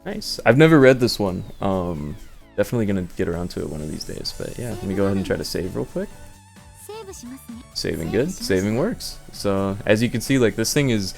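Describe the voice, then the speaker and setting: A man's voice: lowish voice, very animated, brisk, creaky, darkish tone, soft background music. A man giving an unscripted, casual gaming showcase, rambling and thinking aloud as he goes. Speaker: video creator demonstrating handheld gaming.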